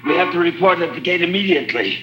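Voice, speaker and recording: Higher-voiced, earnest camp prisoner. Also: male voice, lively intonation, fast. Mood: urgent. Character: earnest, secretive male prisoner in a foreign labour camp. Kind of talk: 1950s radio drama acting. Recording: dull vintage radio recording, music behind.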